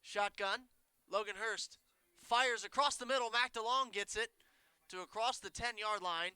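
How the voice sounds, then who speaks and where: Bright-voiced young play-by-play man. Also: sits high, clear, resonant tone, dry recording. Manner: quick, excited calls on big plays. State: congested, says he sounds nasal. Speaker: play-by-play announcer. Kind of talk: high school football play-by-play.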